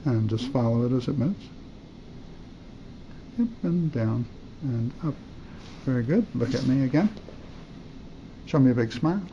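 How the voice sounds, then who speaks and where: A man's voice: mid-range voice, lively intonation, measured pace, pauses often, warm tone. An older man working as a doctor, giving short exam instructions and brief encouragement to a patient in a hospital room. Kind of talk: clinical exam instructions, short commands and praise. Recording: dull, lightly noisy room recording.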